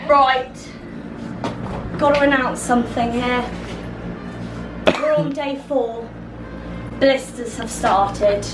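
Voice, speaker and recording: A young woman chatting excitedly in a casual travel vlog, talking to a handheld camera. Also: sits high, slow, long pauses, music in the background, rough recording. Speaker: vlogger. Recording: busy crowd and background noise.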